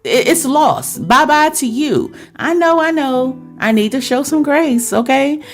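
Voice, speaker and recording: A woman's voice: high voice, lively intonation, a little slow, soft background music. A woman podcast host talking directly to her listeners in a chatty, confiding girlfriend-to-girlfriend way, with rhetorical questions.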